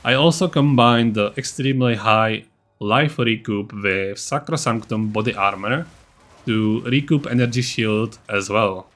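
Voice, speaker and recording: A man's voice: lowish voice, unhurried, a bit bright. A man giving scripted, explanatory narration for a video game build guide in a methodical way.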